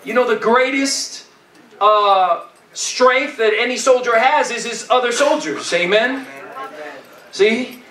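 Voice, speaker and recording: A man's voice: very high voice, takes it slowly, sharp tone. A middle-aged man preaching a sermon in colloquial, repetitive phrases, exhorting his congregation and punctuating points with "amen?". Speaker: pastor preaching. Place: church stage, indoors. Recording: echoey church, distant camera pickup.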